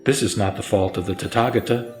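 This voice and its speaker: A calm, authoritative male sage, voiced by a man narrator, teaches in a low voice. He is solemn and instructive, in a sutra audio drama over faint music. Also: fast talker, reverberant, natural voice.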